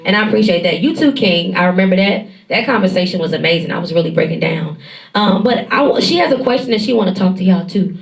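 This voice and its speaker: A woman hosts a live event and speaks emphatically to rally the crowd. She talks quickly. Her voice sounds distant from the microphone. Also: on the low side, animated, vocal fry. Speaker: event host. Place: event venue with audience.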